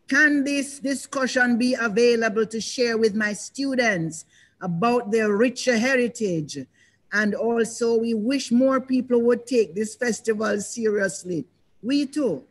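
An older woman leads a panel discussion over a video call. Her voice sits at a middle pitch, and she speaks in a reflective, measured way, pausing and restarting as she shapes each thought. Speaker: panel moderator. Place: indoors, in front of a banner.

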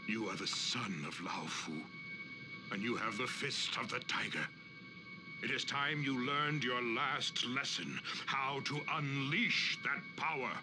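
A man's voice: medium pitch, unhurried, natural voice. A man playing a solemn warrior spirit, a father guiding his son in a video game, with grave, portentous delivery. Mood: commanding. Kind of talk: video game cutscene acting. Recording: music behind the voice.

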